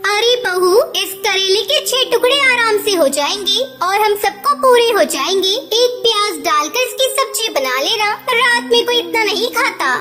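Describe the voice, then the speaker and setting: A woman's voice: extremely high pitch, expressive, measured pace, hardly any pauses, loud music behind the voice. A woman voicing a miserly, cunning, bossy mother-in-law bird in a cartoon, with a scolding, haggling, emphatic delivery. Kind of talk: Hindi cartoon voice acting.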